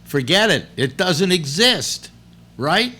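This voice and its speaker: A man in his late seventies hosting a casual chat, rambling and halting with many restarts. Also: high voice, very animated, sharp tone. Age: 70s. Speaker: host.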